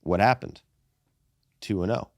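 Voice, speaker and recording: A young man hosting a casual sports podcast, animated and profane, calling people "brother" and "dude". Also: on the low side, measured pace, no echo, clean studio sound. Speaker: podcast host.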